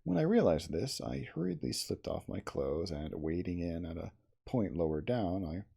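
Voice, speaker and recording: A man reading literary fiction aloud in a measured, theatrical, formal storytelling manner, in an ASMR style. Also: sits low, very animated, gravelly, mellow, breathy edge. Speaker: audiobook-style reader.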